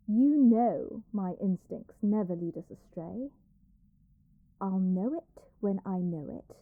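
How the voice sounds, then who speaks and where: A woman's voice: medium pitch, no room sound, narrow, tinny audio. A woman playing an arrogant young princess in a dramatic audio roleplay, haughty, petulant, theatrical and given to complaint. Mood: stubborn, haughty.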